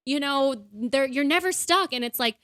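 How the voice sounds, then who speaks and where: A woman's voice: high-pitched, animated, moderate pace, fairly bright tone, no echo, clean studio sound. A young woman co-hosting a podcast, chatting in a bubbly, casual way with lots of fillers. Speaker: podcast co-host. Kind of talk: casual chat.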